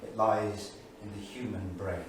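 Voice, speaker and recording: An actor playing a brooding English intellectual of the 1930s in a staged reading, speaking in a grave, rhetorical manner. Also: male voice, on the low side, steady tone, measured pace, lightly acted. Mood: indignant, searching. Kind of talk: staged reading of a dramatic monologue. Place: small theatre studio. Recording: distant, echoey theatre recording with noise.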